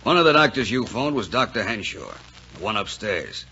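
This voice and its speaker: Gruff police chief, hard forceful tone, husky, sardonic, commanding, muffled vintage radio drama. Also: male voice, fairly low pitch, big pitch swings, normal speed, a little hiss or hum, exaggerated voice. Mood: suspicious, sardonic. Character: gruff, suspicious, bossy small-town police chief. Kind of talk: 1940s radio drama acting. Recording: dull vintage 1940s radio broadcast.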